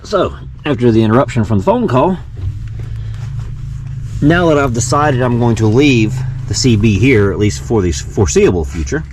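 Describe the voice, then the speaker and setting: A man's voice: medium pitch, lively intonation, normal speed, a little mellow. A man giving a casual, folksy how-to while working inside a vehicle, thinking aloud as he goes. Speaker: vlogger demonstrating a car radio install. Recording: clear background noise in a vehicle.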